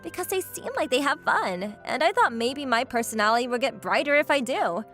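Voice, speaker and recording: A woman voicing a perky, popular teenage schoolgirl in a manga dub, chirpy and expressive. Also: sits high, brisk, soft background music. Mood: fond.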